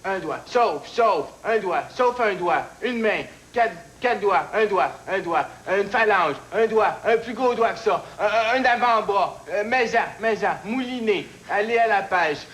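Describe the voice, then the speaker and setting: Flustered, high-voiced Quebec contestant, slow-paced. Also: male voice, expressive, fairly bright tone, a little hiss or hum, low-quality recording. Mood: flustered, searching. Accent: Quebec French. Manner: halting, listing guesses in fragments. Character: flustered, hapless male contestant guessing mimed clues. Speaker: game show contestant.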